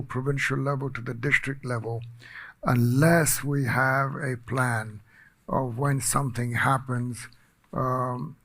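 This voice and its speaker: An older man speaking on a policy panel, soft-spoken and hesitant, with frequent fillers. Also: medium pitch. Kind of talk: panel talk.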